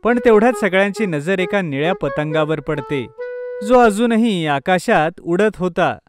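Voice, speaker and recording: A man with a notably high voice reads a scripted story for children. He works as the narrator, with faint music underneath. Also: animated, unhurried.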